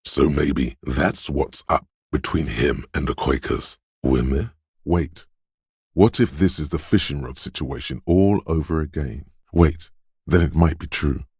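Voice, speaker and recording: A man voicing the quick-witted inner voice of a detective's instincts in a video game, narrating in a deliberate, alert and dramatic way. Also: a bass voice, darkish tone, breathy edge, no echo, studio quality, exaggerated voice. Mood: alert, wry.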